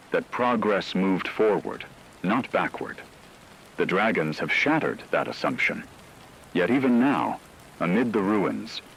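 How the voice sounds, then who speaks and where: A man's voice: low-pitched, slight background noise, low-quality recording. A man playing a grave radio news anchor, delivering a scripted disaster report in a solemn, formal, rhetorical manner.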